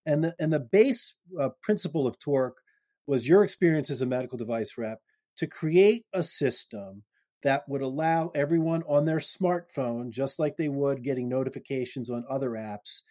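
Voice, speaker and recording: A man's voice: mid-range voice, animated, moderate pace, warm tone, no echo. A middle-aged man hosting an interview in loose, casual chat, often restarting his sentences and laughing briefly. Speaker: podcast host.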